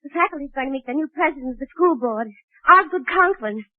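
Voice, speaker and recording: An actress playing a wry, long-suffering English teacher with dry, sardonic delivery in a 1940s radio comedy. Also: female voice, sits high, expressive, fast. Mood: flustered. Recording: tinny, band-limited 1940s radio recording.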